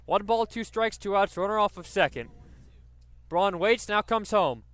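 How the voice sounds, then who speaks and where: A man's voice: high-pitched, moderate pace, fairly bright tone, smooth. A man doing live radio play-by-play commentary of a baseball game.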